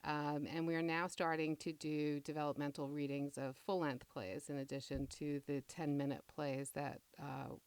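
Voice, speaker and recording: Middle-aged woman playwright in interview: deep, smooth voice, measured and reflective, dry close sound. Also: little pitch movement, darkish tone, dry recording.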